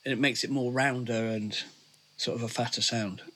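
Podcast guest, man, bright slightly husky voice, laughs a lot, condenser mic. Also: medium pitch, steady tone, normal speed. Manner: laughing, loose, trailing off. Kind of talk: casual chat. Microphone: large condenser studio mic.

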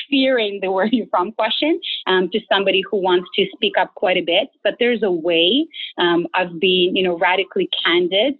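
A woman's voice: on the low side, slightly rough. A woman from Ukraine with a Ukrainian accent, a guest telling stories in a casual interview and laughing often. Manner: laughs often, animated storyteller. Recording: tinny phone-like line.

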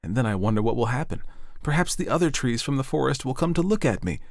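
A man's voice: medium pitch, animated, fast talker, gravelly, no echo, clean studio sound, pitched above the performer's own voice. A man voicing a young fir tree in a storybook reading, asking eager, breathless questions about what will happen to it. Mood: eager wondering. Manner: wistful, breathless wondering questions.

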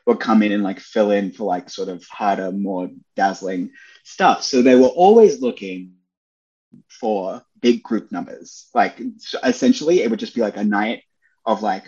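A man's voice: lowish voice, animated, moderate pace, a little mellow, dull audio. A young man, a Broadway performer, chatting as a podcast guest, enthusiastic and full of "like" and "you know" fillers. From Australia. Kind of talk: casual interview chat.

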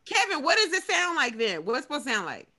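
A woman's voice: high voice, very animated, fast, bright, forceful tone. A playful woman joking in a casual group chat over a video call. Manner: playful, makes laser gun sounds. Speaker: panelist.

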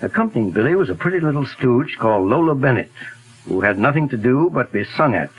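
An actor playing a calm, methodical middle-aged detective in a 1940s radio drama, speaking in a measured, polite way over a hissy old broadcast. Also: male voice, mid-range voice, slightly rough, dull audio. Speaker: detective lead.

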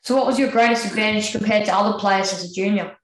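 A woman's voice: medium pitch, quick pace. A woman asking interview questions briefly, with short encouraging backchannels. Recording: roomy echo.

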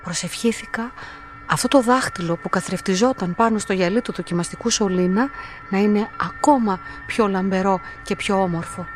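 Radio drama: female actor as lonely young woman, measured and courteous, quietly resolute, medium register, music underneath. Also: mid-range voice, close to an everyday voice. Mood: introspective narration. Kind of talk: radio drama acting and first-person narration.

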